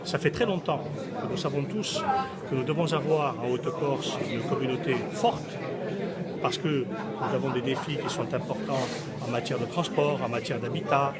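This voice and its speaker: Measured male politician in loud crowd hubbub. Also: medium pitch. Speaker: local politician. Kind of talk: political interview, measured explanation.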